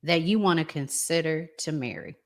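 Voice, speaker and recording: A woman's voice: low-pitched, normal speed. A woman hosting a podcast, chatting casually and putting questions to her guest. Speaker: podcast host. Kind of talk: casual chat, asking questions.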